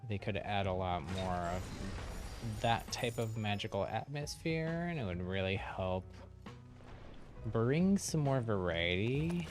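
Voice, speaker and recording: A man giving casual live gameplay commentary as a streamer, thinking aloud in an easygoing way. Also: lowish voice. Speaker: game streamer. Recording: game music behind the voice.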